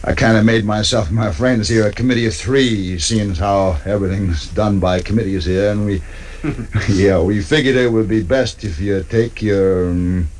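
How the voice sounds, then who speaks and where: Smirking, low-voiced western drifter played by a man. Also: steady tone, a little mellow, close to an everyday voice. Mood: mock-polite. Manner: folksy, drawn-out, mocking. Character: smirking, insolent drifter, mock-polite troublemaker. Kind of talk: 1950s radio western acting. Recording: old radio recording, faint noise.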